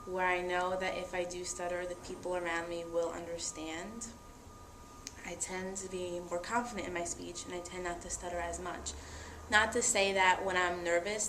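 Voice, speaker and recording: A young woman talking earnestly and reflectively about herself in a conversation. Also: on the low side. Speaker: interviewee. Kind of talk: personal testimony in conversation. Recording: echoey room with faint noise.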